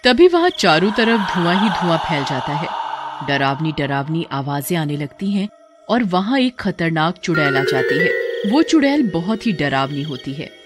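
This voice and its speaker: A woman narrating a cartoon story with lively, dramatic storytelling. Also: sits low, moderate pace, over loud music.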